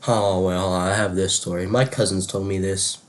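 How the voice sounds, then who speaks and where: A man's voice: sits low, fairly even intonation, moderate pace. A young man chatting and telling stories casually, in loose slangy speech full of "bro" and "like". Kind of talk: casual spoken storytelling and chat.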